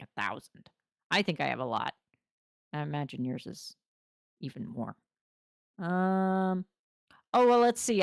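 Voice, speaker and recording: Woman, low and gravelly, hoarse from a long session; chatty, rambling gamer, recorded dry. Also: no room sound. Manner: rambling, talkative, self-deprecating. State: voice going hoarse after hours of talking. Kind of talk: casual chat while playing a game.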